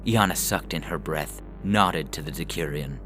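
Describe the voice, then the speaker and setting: A man's voice: a bass voice, normal speed. A man reading scripted fiction as a narrator. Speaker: story reader. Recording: music bed behind the voice.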